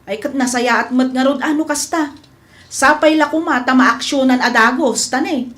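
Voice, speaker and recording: An exasperated village housewife played by an actress in a radio comedy. Her voice is high, and she gripes emphatically. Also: moderate pace, a bit bright. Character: exasperated village housewife griping about thieves. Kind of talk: comedy radio drama acting.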